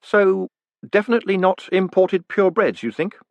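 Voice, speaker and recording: A man voicing a quick-witted, polite new postmaster in an audiobook, conversational and slightly flustered. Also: sits high, gravelly, no room sound, highs cut off, voice pushed up. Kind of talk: audiobook character voice.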